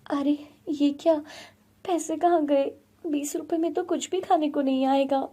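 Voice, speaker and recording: A woman's voice: high voice, fairly even intonation, measured pace, mellow. A woman voicing a worried, dutiful young village wife in an earnest, anxious story style.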